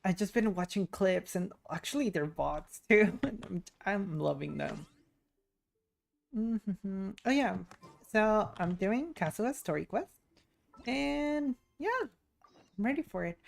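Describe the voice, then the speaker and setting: A woman's voice: medium pitch, animated, slow, creaky. A woman chatting casually and informally as she livestreams a game, rambling from one thought to the next. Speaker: VTuber game streamer. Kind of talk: casual livestream chat while playing a game.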